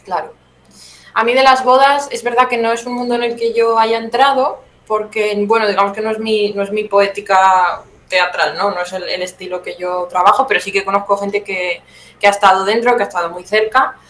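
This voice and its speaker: A woman's voice: mid-range voice, steady tone, fairly quick, a bit bright. A woman from Spain with a Spanish accent, giving reflective, self-correcting answers in a conversational interview. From Teruel, Spain. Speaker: guest playwright being interviewed. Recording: roomy echo, some background noise.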